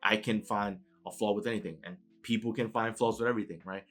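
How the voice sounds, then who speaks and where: A man's voice: on the low side, fairly even intonation, quick pace, fairly bright tone. A man from New York City with a New York accent, giving a conversational motivational talk and often checking in with "right?". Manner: conversational, keeps adding "right?" tag questions. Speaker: motivational speaker.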